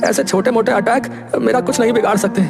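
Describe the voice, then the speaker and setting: Kind old biryani seller dad, slow gentle cartoon voice, music in the back. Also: male voice, very high voice, steady tone, creaky. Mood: weak. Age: older. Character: elderly kindly male biryani seller, father. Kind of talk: Hindi cartoon voice acting. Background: background music.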